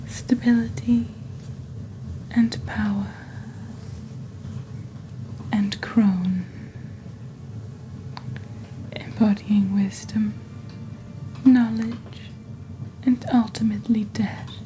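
A young woman playing a genteel witch shopping-channel host in soft-spoken ASMR, with a breathy near-whisper and a deliberate, gently formal delivery. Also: medium pitch, fairly even intonation, a little hiss or hum, natural voice, poor audio. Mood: soft, soothing. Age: young adult. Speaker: ASMR performer.

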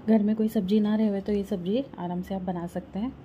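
A woman's voice: medium pitch, fairly even intonation, fairly quick, dark tone, a little hiss or hum. A woman from Rajasthan, India, talking a viewer through a home recipe step by step in her kitchen, recorded on a phone held close. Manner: homely, explains step by step. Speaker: home cooking vlogger. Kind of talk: casual cooking walkthrough.

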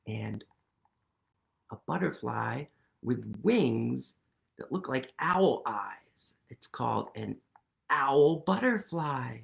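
A man in his thirties reading a picture book aloud to children, animated and playful, leading them in actions, recorded on a webcam in a small room. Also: mid-range voice, slow pace, creaky. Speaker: storytime reader.

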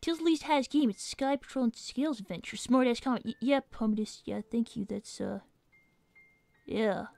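A woman's voice: on the high side, normal speed, vocal fry, dark tone, no room sound. A woman voicing a young, brainy cartoon fox boy who chats in a sassy, self-assured way as a gaming livestream host. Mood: indignant. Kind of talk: in-character gaming livestream chat. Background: game music.

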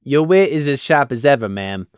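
A man doing a deadpan pony sergeant. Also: fairly high pitch, moderate pace, no echo. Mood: dry. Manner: dry, deadpan. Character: dry, sardonic male bat-winged pony sergeant.

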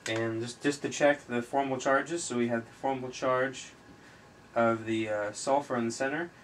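Even-toned man tutoring over background noise. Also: medium pitch. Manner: halting, thinking aloud while writing. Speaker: chemistry tutor, video host. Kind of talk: informal explanatory tutorial. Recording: noisy amateur recording with room ambience.

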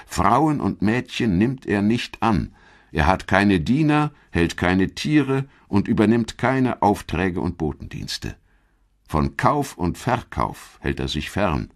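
A man narrating a scripted documentary in a measured, emphatic storytelling manner. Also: low-pitched.

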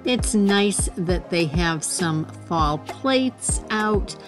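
Woman in her forties, shopping vlog host, chatty and upbeat, slow easy pace, light music underneath. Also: fairly low pitch, animated. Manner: chatty and enthusiastic, talking to viewers. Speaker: shopping vlogger. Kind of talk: casual shop-with-me narration.

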